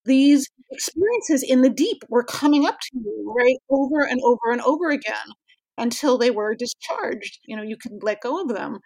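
Calm reflective woman, dry recording. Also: a little high, moderate pace, dry recording, rough recording. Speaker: rabbi and dreamwork scholar. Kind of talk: interview.

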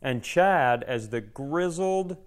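A man's voice: medium pitch, expressive, slow pace. A man co-hosting a podcast, telling stories casually in a joking, self-mocking way. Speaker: podcast co-host, stand-up comedian. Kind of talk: casual conversational storytelling.

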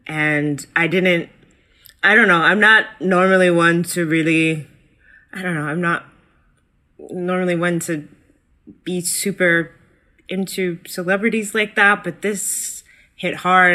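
A woman co-hosts a podcast in easy, animated conversation, full of ums and likes. Her voice has a bright, crisp edge. It leaps upward in pitch when she gets excited. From United States. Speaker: guest co-host.

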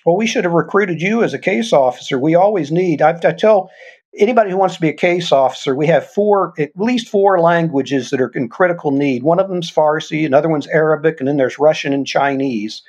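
An older man, a guest, talks with earnest conviction and illustrates his points with stories. His voice is husky and sits a touch higher than most men's. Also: brisk, darkish tone, lo-fi sound. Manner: earnest, explains with examples. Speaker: guest, former intelligence officer. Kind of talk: conversational storytelling interview.

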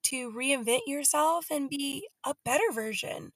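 High-voiced upbeat woman guest. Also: unhurried, fairly bright tone, no room sound. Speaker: podcast guest, company founder and author. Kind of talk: casual interview.

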